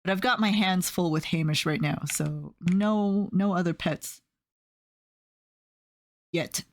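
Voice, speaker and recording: A young woman streaming and chatting casually, telling rambling stories with lots of fillers and soft laughs. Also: on the low side, slow pace. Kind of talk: casual chat while drawing on stream.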